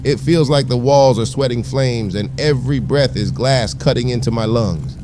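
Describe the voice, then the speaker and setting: A man's voice: mid-range voice, normal speed, over music, lightly acted. An actor playing a wounded, desperate man trapped in an audio drama disaster, with strained and urgent delivery. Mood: pained, desperate. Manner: strained, urgent, shouting for help. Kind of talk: first-person audio drama narration with dialogue.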